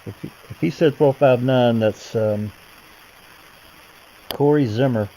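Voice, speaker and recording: A man's voice: medium pitch, measured pace, warm tone, a little hiss or hum, low-quality recording. A man hosting a show, casually commenting on recordings and identifying who is talking. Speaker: host commenting on archived police radio recordings.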